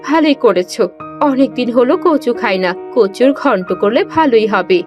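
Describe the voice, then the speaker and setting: Woman playing a very high-pitched bossy village wife. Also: animated, moderate pace, darkish tone, faint music behind, close to an everyday voice. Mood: curious. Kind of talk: Bengali fairy-tale cartoon voice acting.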